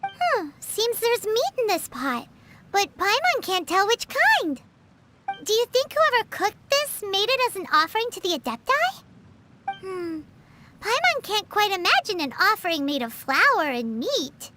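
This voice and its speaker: A woman voicing a tiny, curious, cheeky childlike fairy companion in a video game, with a squeaky voice and exclamatory manner. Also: sing-song, measured pace, heavy background noise, heavily acted. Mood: puzzled, musing. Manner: refers to herself in the third person, exclamatory. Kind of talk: video game character dialogue.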